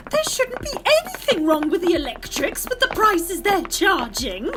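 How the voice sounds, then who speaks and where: A woman's voice: extremely high pitch, huge pitch swings, moderate pace, over loud music, exaggerated voice. An actress playing a gushing, nervy, theatrical lady novelist in an audio drama, fluttery and melodramatic. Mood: anxious, dramatic.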